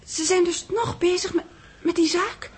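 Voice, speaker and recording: A woman's voice: extremely high pitch, moderate pace. A woman acting in a 1960s radio drama, playing an inquisitive, eager secretary who is chatty yet deferential. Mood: anxious curiosity.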